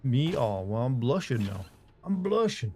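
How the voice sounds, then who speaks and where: A man live-streaming a horror game, reacting loudly with swearing when he dies and casually thanking viewers in between. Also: mid-range voice, very animated, a little slow, a little hiss or hum.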